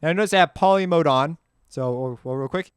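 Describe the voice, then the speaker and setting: A man giving a casual, off-the-cuff software walkthrough as a tutorial host, informal and slangy, rambling as he goes. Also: on the high side, fairly quick, background noise.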